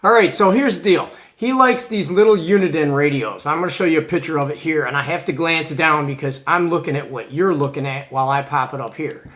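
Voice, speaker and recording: A man from Georgia speaks in a voice pitched a bit above average. He hosts a show and tell in a casual, conversational style. His wording is informal and full of slang, as if chatting with fellow hobbyists. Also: a little fast, hardly any pauses, fairly bright tone. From Georgia, United States. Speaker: host of a CB radio hobby show.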